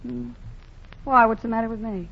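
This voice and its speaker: A woman's voice: a little high, normal speed, close to an everyday voice. An actress as a spirited young woman. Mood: teasing. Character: spirited young working woman tending a baby. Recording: hissy lo-fi 1940 radio broadcast.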